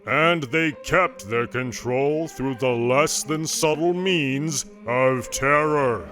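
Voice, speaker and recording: A man's voice: medium pitch, slow, over loud music, lightly acted. A man playing a grave, ominous narrator, delivering dramatic scripted narration for an adventure story.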